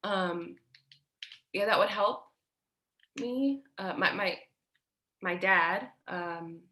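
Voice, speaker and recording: A woman acting a nervous patient who speaks haltingly and stammers in a staged play reading. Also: medium pitch, pushed and bright. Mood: nervous, hesitant. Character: nervous patient leaving a halting phone message. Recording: roomy, echoey sound.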